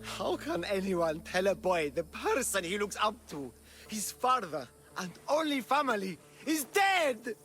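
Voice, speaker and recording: An actor playing a guilt-ridden, shaken old caretaker who speaks slowly and haltingly after torture. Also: male voice, sits high, big pitch swings, a bit bright, soft background music, full character voice. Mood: grieving. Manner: slow, halting, mournful. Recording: orchestral game score underneath.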